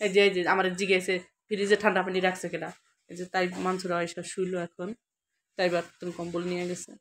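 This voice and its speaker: A woman's voice: lowish voice, completely flat delivery, fast. A woman with a Bangladeshi accent, a mother casually narrating her home vlog and chatting with family. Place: indoors at home. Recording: household noise, clattering dishes.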